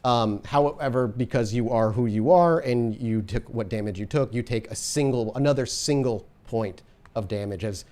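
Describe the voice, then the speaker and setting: A man acting as storyteller for a tabletop horror game, his voice sitting at an ordinary middle pitch. He paints the scene in vivid detail, then shifts easily into patient explanations of dice rolls and rules. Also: moderate pace, darkish tone.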